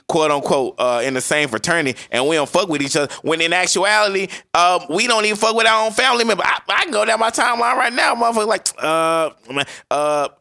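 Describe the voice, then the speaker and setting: A man's voice: a little high, dry recording. A man co-hosting a podcast, emphatic and profane, often restarting and stacking words as he cuts in. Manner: animated, emphatic, profane, repeats words when cutting in. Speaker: podcast co-host. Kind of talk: casual podcast discussion.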